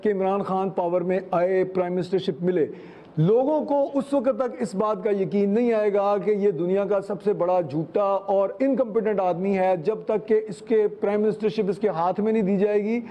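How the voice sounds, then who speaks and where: A man's voice: high-pitched, moderate pace, lo-fi sound. A man, giving his political commentary in a panel discussion.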